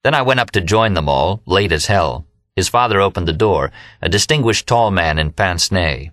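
An American man narrates a novel in a low voice with a bright, forceful edge. It is scripted first-person audiobook reading, recorded close and very dry. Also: animated, moderate pace, no echo. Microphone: close studio microphone, dry.

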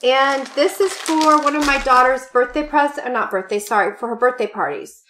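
Woman, late 30s, gushing home haul vlogger, fairly high, bright clear tone, lots of "you guys". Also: fairly quick, slight background noise, rough recording. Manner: bubbly, gushing, many fillers and "you guys". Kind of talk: casual chatty product haul. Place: home room with party decor, indoors.

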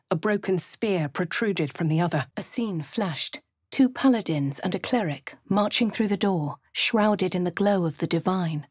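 An actress with a posh English accent voicing a plucky female adventurer hero for a video game, crisp and well-spoken, close to a studio mic. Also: medium pitch, dry recording, lightly acted. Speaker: player character.

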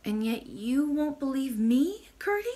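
A woman's voice: high voice, very animated, normal speed, bright, forceful tone, voice pushed up, heavily acted. A woman voicing an emotional, earnest young princess in a storybook, pleading and exclaiming indignantly. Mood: tearful, pleading.

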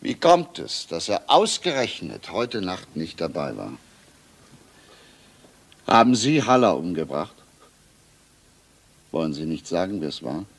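A man's voice: low-pitched, wildly swooping pitch, unhurried, pauses often, exaggerated voice. A man playing a relentless, sarcastic police inspector in a crime radio drama, firing insistent questions. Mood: probing.

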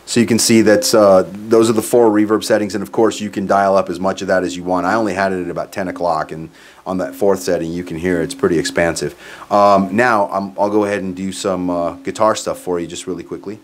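Male, 40s, lowish voice, informal musician reviewing live sound gear, clear background noise on the recording. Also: fairly even intonation, a little fast. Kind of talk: casual product demo. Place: small curtained home studio, indoors. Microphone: microphone on boom stand into PA, plus some room sound.

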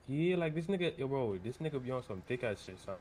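A man's voice: mid-range voice, expressive, fast talker, background noise. A young man doing casual, slangy street roleplay in an online game, often repeating himself. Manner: slangy, repetitive.

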